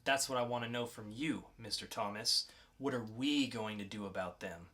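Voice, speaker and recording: A man acting a calm, probing interrogator with a formal, measured delivery in a dramatic comic-book reading. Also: lowish voice, voice pushed down.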